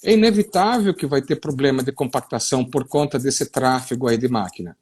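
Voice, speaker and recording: A man's voice: medium pitch, brisk, a little mellow, husky. A middle-aged man from western Paraná with a gaúcho-tinged southern Brazilian accent, explaining soil practice in a live stream over a video call, rambling and restarting as he goes. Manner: digressive, explanatory, with many fillers and restarts.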